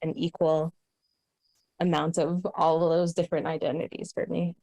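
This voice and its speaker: A woman's voice: sits low, flat delivery, fairly quick, gravelly, mellow, no echo. A young Canadian woman hosting a podcast conversation, speaking thoughtfully with many fillers and restarts. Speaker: host. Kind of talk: casual conversation.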